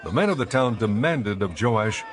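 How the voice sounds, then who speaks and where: Low-voiced male Bible narrator. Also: animated. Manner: measured, storytelling. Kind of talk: scripted narration, dramatized audio Bible.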